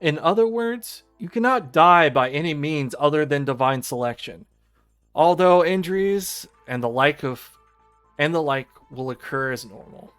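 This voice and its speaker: A man's voice: on the high side, lively intonation, normal speed, fairly bright tone, clean tone. A man voicing a prim, condescending female arbiter of a deadly game, reading a visual novel aloud in formal, theatrical tones. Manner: theatrical, formal, mock-refined. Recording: music behind the voice.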